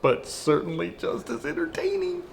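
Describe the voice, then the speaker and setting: A woman's voice: a little high, wildly swooping pitch, normal speed, gravelly, exaggerated voice. A woman acting a level-headed, wry ghost friend in comedy-drama dialogue. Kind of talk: acted dialogue in a comedy-drama web series. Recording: faint background noise.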